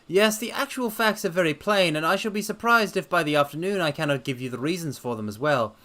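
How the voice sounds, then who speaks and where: A man voicing a confident, methodical detective in a dramatic reading, with a crisp, politely assured way of talking. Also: a little high, brisk, bright, forceful tone, voice pushed up.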